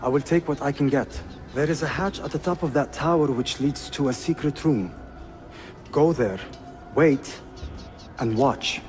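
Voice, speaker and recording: A man's voice: medium pitch, measured pace, a little mellow, soft background music, natural voice. A man, playing a courteous, shrewd seventeen-year-old Ottoman prince in a video game, composed and assured. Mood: composed, earnest. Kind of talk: cinematic game acting.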